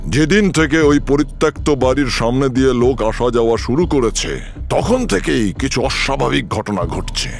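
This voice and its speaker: A man's voice: medium pitch, expressive, moderate pace, creaky, faint music behind. A man voicing a concerned village headman, grave and reassuring, in a cartoon fairy tale. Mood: troubled. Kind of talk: animated fairy tale acting.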